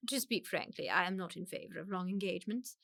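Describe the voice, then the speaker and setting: An actress playing a haughty upper-class English society matriarch in a radio comedy, making pompous, emphatic, drawn-out pronouncements. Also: female voice, lowish voice, creaky, a bit bright, no room sound. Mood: grandly decisive. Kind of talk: radio play comedy of manners.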